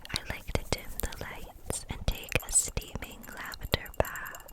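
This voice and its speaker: A woman playing a gentle, soothing kitchen witch in a whispered ASMR roleplay, speaking softly and breathily with a caring manner. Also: lightly acted. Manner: soft, slow, caring, unhurried.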